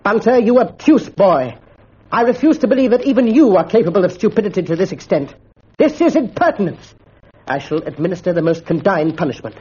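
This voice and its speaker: A man's voice: sits high, warm tone, husky, muffled recording, pitched above the performer's own voice, full character voice. A man doing a stern old schoolmaster. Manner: slow, measured, severe. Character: stern, grim older male schoolmaster.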